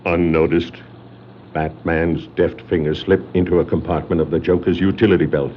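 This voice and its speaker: A man's voice: sits low, steady tone, normal speed, slight background noise, natural voice. A man giving formal, portentous scripted narration for a 1960s dramatic adventure record. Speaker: story narrator. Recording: dull 1960s vinyl record.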